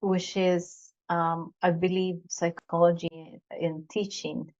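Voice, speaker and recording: A woman hosting a podcast interview, speaking deliberately with some fillers and repeats. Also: lowish voice, flat delivery, darkish tone. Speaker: podcast host. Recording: dull lo-fi sound.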